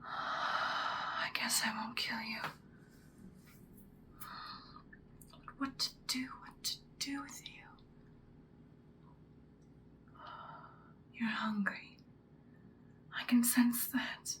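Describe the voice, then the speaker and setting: A woman voicing an imperious, seductive ancient vampire in a breathy, dramatic whispered ASMR roleplay. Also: fairly high pitch, fairly even intonation, pushed and bright. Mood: pondering, possessive. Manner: slow, deliberate, dramatic. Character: ancient female vampire, seductive, imperious, menacing yet protective.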